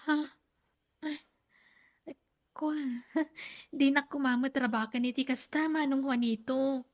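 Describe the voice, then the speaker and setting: A woman's voice: high-pitched, steady tone. A woman acting a hesitant young daughter and sister in an Ilocano radio drama. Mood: shy, hesitant. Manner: hesitant, halting.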